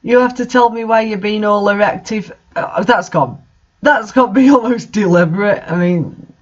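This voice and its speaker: A man with a high-set voice reads and comments in a casual, mocking style, with exasperated disbelief. The recording is dull and lo-fi. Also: normal speed. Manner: incredulous, exasperated asides. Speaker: reader and commentator of bad fan fiction.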